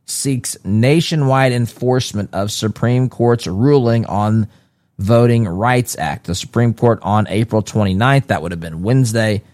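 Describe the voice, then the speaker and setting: Man, low voice, casual solo commentary, conversational asides, frequent restarts, dry close sound. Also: darkish tone, no echo. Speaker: political commentary host.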